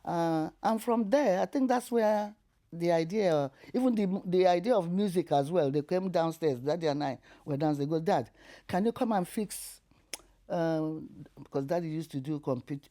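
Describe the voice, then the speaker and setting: An older woman with a Nigerian accent tells her life story in an interview, animated and laughing through hard memories. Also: low-pitched, normal speed. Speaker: interview guest.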